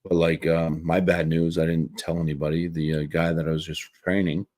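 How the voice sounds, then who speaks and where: A man in casual conversation, halting and often repeating himself. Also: extremely low pitch, level tone, darkish tone. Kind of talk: casual chat. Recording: faint music behind the voice.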